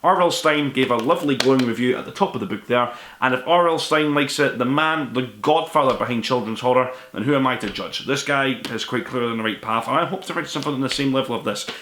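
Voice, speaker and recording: Man, book reviewer, informal, rambling, quick pace, roomy echo. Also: medium pitch, fairly bright tone, a little hiss or hum. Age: 20s to 30s. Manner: rambling, self-deprecating, informal. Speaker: book review show host. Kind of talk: casual book review to camera. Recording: echoey room.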